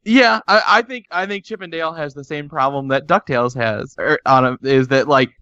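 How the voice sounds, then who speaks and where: A man's voice: fairly high pitch, fairly quick, sharp tone, smooth, no echo, lo-fi sound. A man chatting casually as a podcast panelist. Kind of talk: casual chat.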